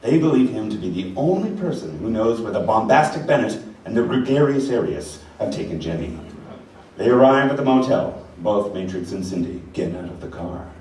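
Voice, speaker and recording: A man's voice: fairly low pitch, sing-song, normal speed, darkish tone. A man playing the dramatic narrator of a parody action radio play. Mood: solemn recounting. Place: rehearsal hall live performance. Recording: very echoey hall, distant, noisy.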